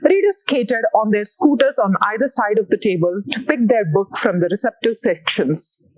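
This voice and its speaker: Woman, Indian accent, author reading fiction, scripted narration, deliberate phrasing, medium pitch. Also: lively intonation, rough recording. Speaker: author reading own novel.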